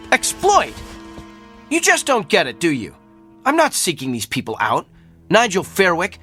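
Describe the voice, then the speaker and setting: An actor playing a doctor with a gift for feeling pain, who turns arrogant, in an old-time radio drama. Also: male voice, high-pitched, exaggerated voice. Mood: grandiose. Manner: calm and clinical, growing self-important.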